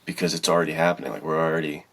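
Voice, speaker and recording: A man's voice: very deep voice, fairly even intonation, racing, a bit bright. A man co-hosting a podcast in casual chat, rambling along informally and with enthusiasm. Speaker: podcast co-host.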